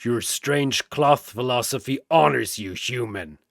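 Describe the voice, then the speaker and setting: A man's voice: medium pitch, a little slow, gravelly, no room sound, clean studio sound. A man voicing a proud, honor-bound tauren warrior in a fantasy battle story. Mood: grudging respect.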